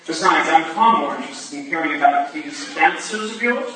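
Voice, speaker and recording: A man playing an affable, inquisitive married gentleman, hearty and polite, in a staged reading of a period drama. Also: fairly high pitch, lively intonation, normal speed, close to an everyday voice. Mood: genial. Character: affable married gentleman, eagerly pumping for gossip. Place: staged reading in a large echoey room. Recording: echoey hall from far off, faint noise.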